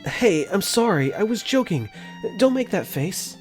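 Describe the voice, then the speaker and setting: A young man voicing an earnest, caring dorm caretaker for a fan dub, gently narrating his own thoughts in first person. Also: sits high, big pitch swings, a little slow. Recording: faint music under the voice.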